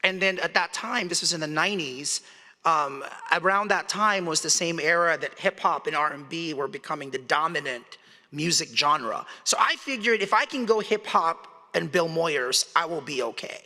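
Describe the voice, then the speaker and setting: A man delivers a public lecture in a large hall, and his voice echoes with a long tail. His voice is a little higher than usual and sounds bright and forceful. He talks conversationally, putting questions to the audience and chuckling between points. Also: expressive, moderate pace. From Philippines. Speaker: guest speaker.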